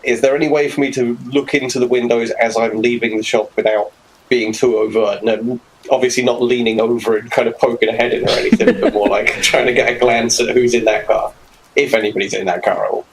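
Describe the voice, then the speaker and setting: A man's voice: mid-range voice, fairly even intonation, brisk, rough recording. A man casually describing his character's actions as a player in a tabletop game, wordy and thinking aloud. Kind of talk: casual improvised play description. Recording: clear background noise, room ambience.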